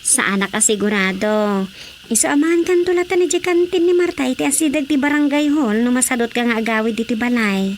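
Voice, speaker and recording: An actress with an Ilocano Filipino accent playing an affectionate, chatty wife in a radio drama. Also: female voice, a little high, a little fast, a little hiss or hum, lightly acted. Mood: casual.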